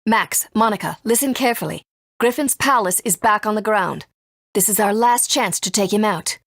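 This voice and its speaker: A woman voicing a gentle, earnest mother and resistance fighter in a video game, soft and breathy, speaking in a measured, tender way. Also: medium pitch, dry recording, studio quality. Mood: urgent, earnest. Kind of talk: English video game voice acting.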